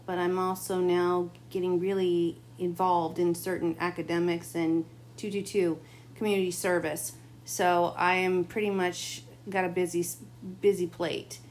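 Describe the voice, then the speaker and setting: Woman, tarot reader, slightly raspy, rambling late-night monologue, faint hum behind. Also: lowish voice, a little mellow. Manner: rambling, confiding.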